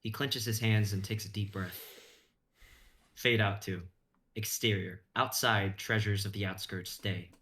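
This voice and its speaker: A man's voice: fairly low pitch, steady tone, a little slow, pushed and bright, slight background noise. A young man reading scripted narration and stage directions as narrator. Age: young adult.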